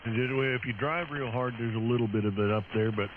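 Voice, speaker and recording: A man's voice: mid-range voice, fast. Thin noisy radio signal, man. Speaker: amateur radio operator. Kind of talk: casual technical chat. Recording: noisy, narrow-band shortwave radio transmission.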